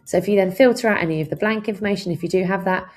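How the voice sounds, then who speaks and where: A woman presenting a software tutorial, explaining things step by step in an instructional way with informal asides. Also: medium pitch, racing.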